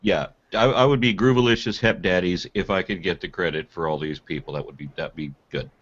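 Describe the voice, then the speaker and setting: A man's voice: deep voice, brisk, a bit bright. A man co-hosting a podcast in casual conversational talk, recorded in dull lo-fi call audio. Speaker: podcast co-host.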